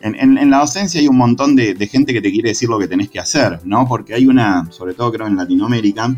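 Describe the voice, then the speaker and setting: A man's voice: fairly low pitch, quick pace, a little mellow, dull audio, soft background music. Argentine man, passionate and reflective. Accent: Argentine Spanish. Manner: reflective, passionate, frequent restarts and hesitations. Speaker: secondary school literature teacher. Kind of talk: relaxed conversational interview.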